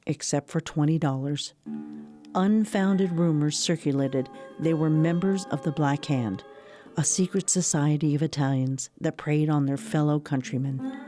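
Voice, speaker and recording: A woman's voice: low voice, dark tone, close to an everyday voice. An American woman narrating a historical radio drama in a sober, scripted style. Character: sober female storyteller recounting history.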